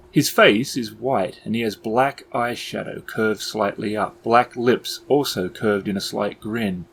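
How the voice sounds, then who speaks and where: Low-voiced man narrating a tabletop game. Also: fairly bright tone, low-quality recording. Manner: measured, descriptive, literary. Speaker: game master, storyteller. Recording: background ambience noise under voice.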